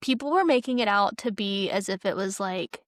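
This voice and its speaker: A woman's voice: mid-range voice, moderate pace, no echo, studio quality. A woman co-hosting a podcast, chatting casually and informally about TV, with plenty of "like" in her speech. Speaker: podcast co-host. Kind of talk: casual TV-review chat.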